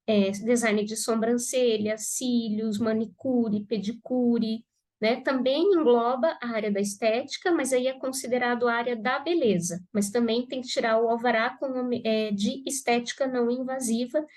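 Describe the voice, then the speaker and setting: A woman's voice: a little high, steady tone, moderate pace, a little mellow. A Brazilian woman pharmacist giving a live class to students, talking conversationally with a congested, cold-stuffed voice and frequent "né?" tags. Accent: Brazilian Portuguese. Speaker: pharmacist teaching aesthetics students. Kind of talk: live online class talk.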